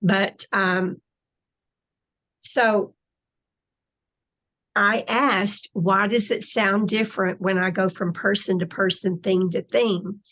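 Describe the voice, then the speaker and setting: A woman guest in a spiritual interview, explaining slowly and deliberately over a remote call. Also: medium pitch, steady tone, fairly bright tone, low-quality recording.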